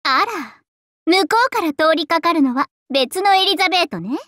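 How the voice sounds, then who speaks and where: Super high girly anime game dragon princess, vain and playful, voice swooping everywhere, dry recording. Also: expressive, moderate pace, a bit bright, no echo. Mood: smug. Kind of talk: Japanese anime game character voice lines.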